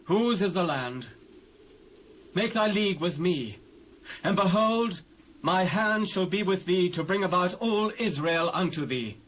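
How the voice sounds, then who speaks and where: A British man playing a proud, forceful biblical army commander, declaiming archaic lines with emphasis in a dramatised scripture reading. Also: high voice, expressive, unhurried, poor audio. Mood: bold. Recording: hissy old cassette transfer.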